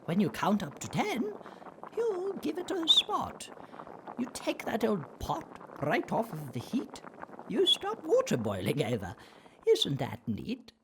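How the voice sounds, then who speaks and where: A woman voicing an encouraging storybook mentor with a raspy voice, teaching in rhyme. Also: a little high, wildly swooping pitch, unhurried, noisy background, pitched above the performer's own voice, exaggerated voice. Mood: encouraging, instructive.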